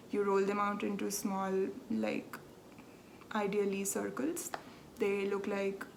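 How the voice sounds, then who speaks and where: A woman's voice: medium pitch, a little hiss or hum. A young woman from South India, a Telugu speaker with an Indian accent, chatting casually as a podcast host, explaining things patiently and laughing at herself. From South India, Andhra Pradesh. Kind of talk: casual chat.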